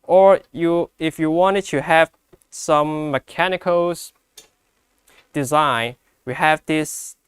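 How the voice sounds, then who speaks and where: A man who speaks English as a second language gives an unscripted product demonstration, explaining step by step in a halting way. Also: fairly high pitch, smooth, dry recording. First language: not English. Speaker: product presenter.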